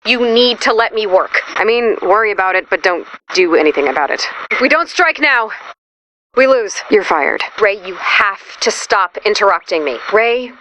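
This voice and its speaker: An actress playing a brisk, blunt woman scientist in a video game, with a dry, matter-of-fact, businesslike delivery. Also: medium pitch, animated, a bit bright, a little hiss or hum, close to an everyday voice, low-quality recording. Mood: blunt, irritated. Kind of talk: video game dialogue voice lines.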